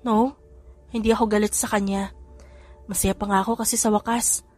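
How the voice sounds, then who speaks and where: A woman's voice: medium pitch, flat delivery, brisk, a little mellow, faint music behind, close to an everyday voice. Earnest young mother, read by a woman.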